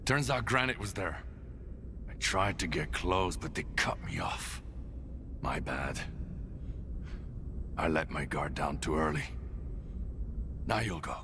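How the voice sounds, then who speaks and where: An actor playing a gruff, seasoned guerrilla fighter in a video game, speaking in terse, clipped phrases. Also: male voice, rumbling low, takes it slowly, long pauses, noisy background, heavily acted. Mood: weary, businesslike. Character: gruff, seasoned male stalker guerrilla ally. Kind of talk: video game cutscene dialogue.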